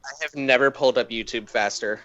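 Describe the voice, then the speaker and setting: A man's voice: mid-range voice, brisk, pushed and bright, dry recording, muffled recording. A man co-hosting a podcast with wry, jokey casual banter. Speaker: podcast co-host.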